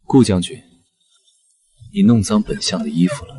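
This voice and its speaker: An actor playing a haughty, aloof chancellor in an animated costume drama, with cold, formal, disdainful delivery. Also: male voice, sits low, moderate pace, gravelly, slightly rough, exaggerated voice. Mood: cold defiance. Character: haughty, aloof male chancellor, secretly a rabbit spirit.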